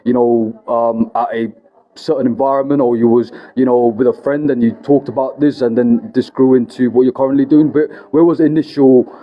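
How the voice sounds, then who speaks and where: A man's voice: medium pitch, fairly even intonation, a little fast, dull audio. A man hosting an interview vlog, asking long rambling questions full of "you know" and "right?". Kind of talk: casual interview.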